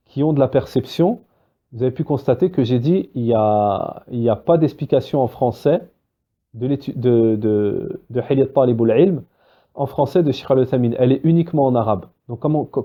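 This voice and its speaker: Lively French-speaking religious teacher, lo-fi. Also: male voice, mid-range voice. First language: Arabic-Islamic terms mixed into French. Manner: lively, acts out dialogues, many fillers. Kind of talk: spoken religious lesson. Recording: dull lo-fi recording.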